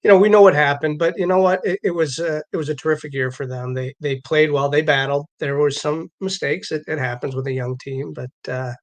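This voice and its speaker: A man with a slightly high voice co-hosts a casual sports talk show. His delivery is conversational and halting, often doubling back on his words. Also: steady tone. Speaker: show owner and co-host.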